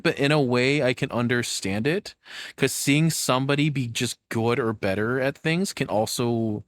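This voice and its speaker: A man's voice: mid-range voice, dry recording. A man giving casual streamer reaction commentary in a blunt, informal way, with some swearing.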